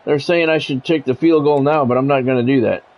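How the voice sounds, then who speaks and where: A man's voice: medium pitch, brisk, dull audio. A man doing play-by-play of his own football video game in short, blunt phrases, mixed with casual gamer talk.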